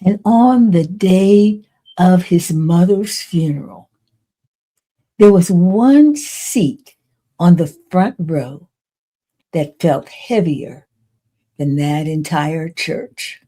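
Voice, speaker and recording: An elderly woman in her eighties hosting a podcast, telling a personal testimony in a deliberate way, with dramatic pauses between short phrases. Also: fairly low pitch, warm tone. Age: 80s. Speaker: podcast host. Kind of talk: personal testimony storytelling to listeners.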